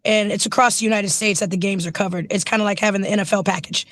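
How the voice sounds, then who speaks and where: Raspy, hoarse woman coach; dry sound, keen and chatty. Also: medium pitch, steady tone, fast talker, a bit bright, no room sound. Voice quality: naturally raspy voice. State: hoarse from yelling at practice. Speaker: running backs coach, guest. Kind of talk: casual interview.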